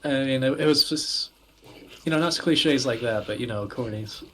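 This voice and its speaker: A man's voice: mid-range voice, normal speed, noisy background. A man co-hosting a podcast over an online call, chatting casually in a hesitant way. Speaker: podcast co-host. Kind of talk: casual chat. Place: remote voice call.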